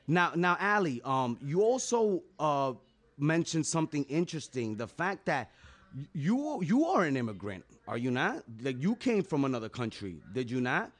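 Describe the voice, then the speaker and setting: A man's voice: on the high side, big pitch swings, normal speed. Rambling man asking interview questions. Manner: rambling, stacks 'you know' fillers and restarts.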